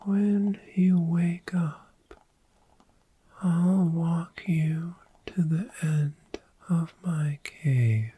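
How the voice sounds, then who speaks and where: Very slow whispering friendly dragon performed softly. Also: medium pitch, slight background noise. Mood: sleepy, tender. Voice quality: breathy, mostly whispered. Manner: slow, soothing, lingering repetition. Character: friendly, gentle dragon host of a sleepy hoard. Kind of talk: soft-spoken ASMR roleplay.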